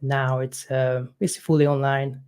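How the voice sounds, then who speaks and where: A man's voice: medium pitch, flat delivery, a little mellow, clear, resonant tone. Guy coach, young, lots of ums and uhs, casual chat. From Hungary. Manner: halting, many fillers, non-native phrasing.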